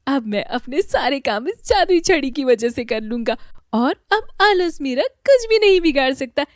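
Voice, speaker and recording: A woman voicing a lazy young village boy who whines in a high, sing-song voice. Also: very animated, normal speed, no echo, studio quality. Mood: gleeful.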